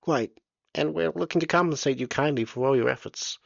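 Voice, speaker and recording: A man's voice: a little high, a little fast, creaky, dry recording, dull audio, voice pushed up. A man voicing a small, bespectacled male state surveyor, polite and pushy, talking fussily with hesitant "uh"s. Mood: smooth-talking. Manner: fussy, ingratiating, hesitant "uh"s.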